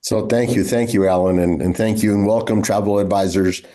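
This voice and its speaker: A man with a low, mellow voice presenting his destination to travel advisors. He talks in a steady, informative way with frequent fillers. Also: a little fast. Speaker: destination representative. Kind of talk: promotional panel presentation.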